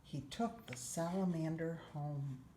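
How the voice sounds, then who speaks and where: A woman's voice: low-pitched, slow, warm tone. A woman narrating a children's picture book aloud. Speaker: social worker reading a story aloud. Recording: roomy echo, faint background noise.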